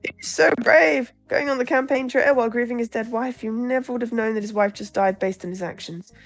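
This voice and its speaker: A woman's voice: fairly high pitch, fairly quick, faint music behind, close to an everyday voice, rough recording. A woman voicing a positive, matter-of-fact campaign aide in a visual novel, blithe and consoling.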